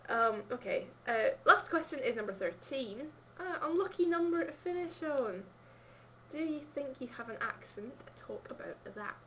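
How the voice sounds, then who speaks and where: A woman's voice: high-pitched, animated, normal speed, a bit bright, muffled recording, a little hiss or hum. Giggly woman with a slight Scottish accent. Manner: chatty, giggly, rambling. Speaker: vlogger. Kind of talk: casual chat answering a questionnaire.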